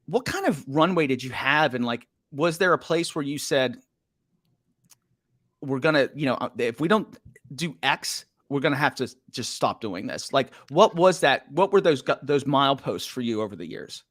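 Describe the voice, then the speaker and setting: A man's voice: mid-range voice, expressive, moderate pace, a bit bright, dry recording. Dry-sounding male podcast host. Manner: jokey, rambling questions with many fillers. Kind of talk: casual interview chat.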